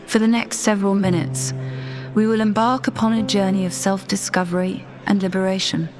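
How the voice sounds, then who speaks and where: A woman narrating a scripted guided meditation in a measured, deliberate, soothing manner. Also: medium pitch, little pitch movement. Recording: voice over background music. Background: music.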